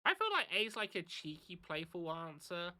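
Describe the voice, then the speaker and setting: A British streamer gives jokey live commentary on a game and reads the choices aloud. His voice is bright and forceful and swings widely in pitch. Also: moderate pace. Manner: animated, jokey, reads out options.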